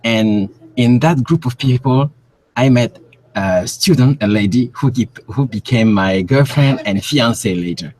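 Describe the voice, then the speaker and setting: Man from Cameroon, halting speech. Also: mid-range voice, darkish tone, poor audio. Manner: halting, searching for words. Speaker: guest researcher. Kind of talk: interview on a call.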